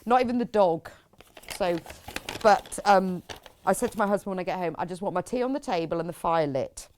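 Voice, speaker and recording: A woman's voice: fairly low pitch, brisk, darkish tone, slight background noise. A middle-aged British woman presenting a live craft shopping show, chatting informally in a rambling way with lots of tag questions and reading out viewers' messages. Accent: British English.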